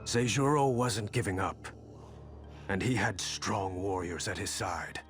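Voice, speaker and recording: An actor playing a world-weary samurai warrior in a video game, speaking in a wry, understated way over music. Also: male voice, sits low, a little slow. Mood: grave, reflective. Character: world-weary male samurai warrior recounting his campaign. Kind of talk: dramatic video game narration and dialogue.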